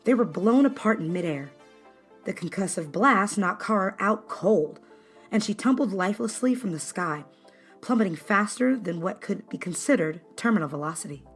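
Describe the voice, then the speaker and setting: A woman's voice: mid-range voice, lively intonation, normal speed, breathy edge. A woman reading scripted fan fiction as a dramatic narrator. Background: background music.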